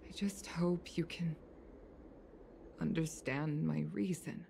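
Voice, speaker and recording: A woman's voice: low-pitched, fairly even intonation, slow pace, creaky, dark tone, slight background noise, heavily acted. An actress voicing a stern, dignified, weary mother in a video game, speaking formally and with measure. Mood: sorrowful.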